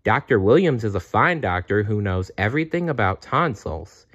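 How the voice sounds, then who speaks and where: A man voicing a gentle, comforting female bear from a storybook, soothing and reassuring, on a dull recording. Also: on the low side, big pitch swings, moderate pace.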